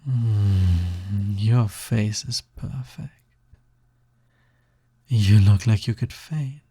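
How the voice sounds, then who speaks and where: A man acting as a smug, touch-starved naga demon in an intimate audio roleplay. The voice is mellow and breathy with a gravelly creak at the edges. He drawls softly, teasing and coaxing the listener. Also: on the low side, extremely slow, no room sound, full character voice. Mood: flirty, teasing. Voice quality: breathy, soft, slightly gravelly.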